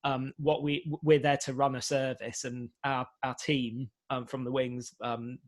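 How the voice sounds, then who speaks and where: A man's voice: mid-range voice, little pitch movement, a little slow, no room sound, studio quality. A man speaking on a panel for a radio organisation, explaining hesitantly and often repeating words. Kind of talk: spontaneous panel talk.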